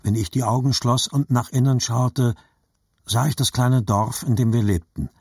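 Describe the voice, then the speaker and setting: An actor playing a reflective adult narrator remembering his boyhood, reading literary narration in a measured, husky voice. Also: medium pitch, steady tone, darkish tone, no echo, studio quality. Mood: wistful.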